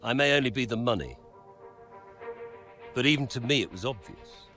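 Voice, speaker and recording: An actor playing a wealthy racing team owner in a game, speaking in a dry, measured, sardonic interview manner. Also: male voice, mid-range voice, animated, pushed and bright, faint music behind. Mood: dry disdain. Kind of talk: acted documentary-style interview.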